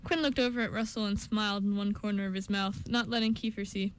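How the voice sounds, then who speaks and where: A woman's voice: medium pitch, fairly even intonation, quick pace, clean tone, rough recording. A woman reading scripted story narration for an amateur radio show, with an occasional stumble.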